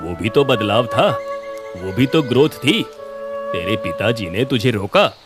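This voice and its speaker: A man voicing a wise, calm old village gentleman in a cartoon story, giving measured, gently reproving advice. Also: mid-range voice. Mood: calm reproof. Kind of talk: animated cartoon story dialogue. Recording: music under the voice.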